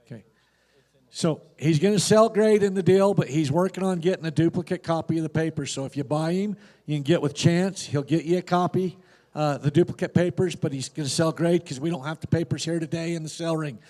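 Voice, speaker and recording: A man's voice: fairly high pitch, a little fast, warm tone, in a large hall. A man announcing horse sale lots, describing each horse in a plain, informative way with casual fillers. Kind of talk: reading lot descriptions.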